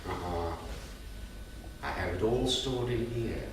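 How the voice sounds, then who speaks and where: An actor playing a gentle, wry, widowed grandfather in a staged reading. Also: mid-range voice, takes it slowly, recorded from a distance. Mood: gentle, reflective. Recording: echoey, noisy theatre, picked up from afar.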